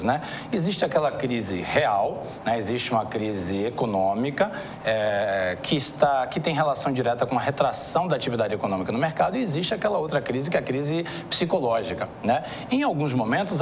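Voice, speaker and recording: A Brazilian man from Bahia speaks as a consultant being interviewed. His delivery is explanatory and didactic, posing questions and answering them himself. The recording carries faint noise. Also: mid-range voice. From Salvador, Bahia, Brazil.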